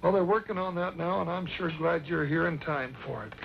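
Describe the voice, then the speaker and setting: An actor playing a folksy, eccentric older judge in an old western radio drama, creaky and a little pompous. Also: male voice, a little fast. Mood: concerned. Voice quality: creaky, high-pitched. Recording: dull, hissy old radio recording.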